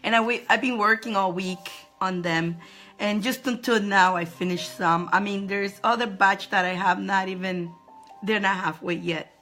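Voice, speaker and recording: Squeaky-voiced woman hosting a live stream. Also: fairly even intonation, moderate pace, fairly bright tone, faint music behind. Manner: greets viewers by name in high sing-song, laughing often. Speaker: host of a live spiritual advice show. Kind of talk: casual live-stream chat greeting viewers.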